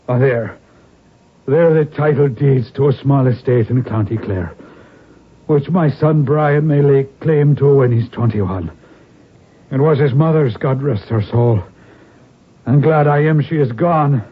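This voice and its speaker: An actor playing a wounded, middle-aged Irish rebel lord on his deathbed in a radio drama, weary and halting, with an Irish accent. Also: medium pitch, husky. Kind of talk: old-time radio drama acting. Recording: muffled, narrow-band vintage radio recording.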